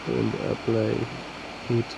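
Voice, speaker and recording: A man presenting an unscripted repair demonstration, talking in halting, piecemeal phrases as he works. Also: medium pitch, completely flat delivery, gravelly, background noise. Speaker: phone repair tutorial presenter. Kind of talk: unscripted hands-on demonstration narration.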